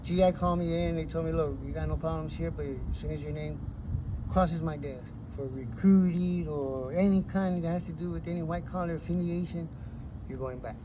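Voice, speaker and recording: A middle-aged man with a fairly high voice tells his story in an animated, gesturing way. He sits outdoors by a chain-link fence, filmed close on a phone. Cars and street ambience run clearly behind him. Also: moderate pace. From Texas. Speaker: interviewee. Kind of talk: casual street interview. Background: traffic and street ambience.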